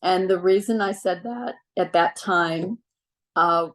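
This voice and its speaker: A middle-aged woman giving casual health advice on a video call, relaxed and chatty with frequent ums. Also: fairly low pitch, slow pace. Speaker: wellness speaker.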